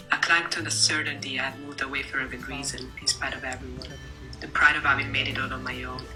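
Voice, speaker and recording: Female narrator, extremely low register, reflective first-person essay read over clear background music. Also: poor audio. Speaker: reader of a literary text.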